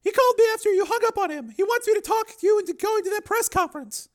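A man voicing a distraught, pleading woman doctor in an audiobook, in an emotional falsetto. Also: quick pace. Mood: concerned. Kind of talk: dramatic audiobook character acting.

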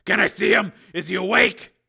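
Tough cop dad, performed by a male narrator, forceful and raised in pitch, urgent, worried, for audio fiction. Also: moderate pace, creaky, husky, full character voice. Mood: concerned. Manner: intense, urgent, demanding. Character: tough, worried police lieutenant and anguished father.

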